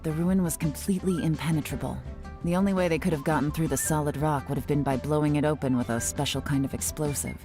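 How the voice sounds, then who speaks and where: Woman playing a cool spy woman, low voice, calm and measured, soft music behind. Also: close to an everyday voice. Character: cool, composed female intelligence agent. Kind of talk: video game voice acting. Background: game music.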